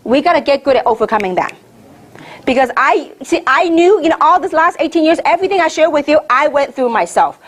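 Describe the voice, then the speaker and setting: A woman's voice: sits high, animated, brisk. A middle-aged woman from Hong Kong speaks as a seminar trainer, emphatic and repetitive, throwing rhetorical questions at her listeners. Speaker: network marketing trainer giving a seminar. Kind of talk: motivational sales training talk to a room.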